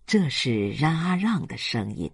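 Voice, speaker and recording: A male audiobook narrator reads literary fiction with lively, varied intonation. His voice is husky and recorded dry and close. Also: medium pitch, measured pace, no echo. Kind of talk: dramatic literary narration of a novel.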